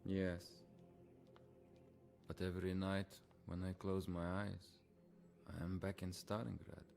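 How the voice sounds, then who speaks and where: An actor playing a brooding, disillusioned Soviet soldier turned war writer in a dramatic video game cutscene. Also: male voice, medium pitch, moderate pace, natural voice. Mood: haunted. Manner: brooding, reflective.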